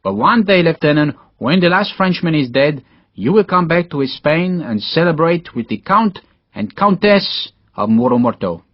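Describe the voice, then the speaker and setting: Man putting on a proud, animated Spanish soldier. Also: fairly high pitch, moderate pace, no echo, dull audio, voice pushed up. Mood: wistful, grand. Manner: formal, grandly declarative. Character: proud teasing Spanish soldier, warm and commanding. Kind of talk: audiobook character dialogue.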